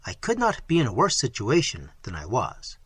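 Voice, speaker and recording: Narrator playing young peasant man, storybook, composed, frank, courteous, archaic, husky. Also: mid-range voice, wildly swooping pitch, moderate pace, fairly bright tone, dry recording, pitched below the performer's own voice, full character voice. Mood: composed, defiant. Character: bold, composed young peasant man answering his lord.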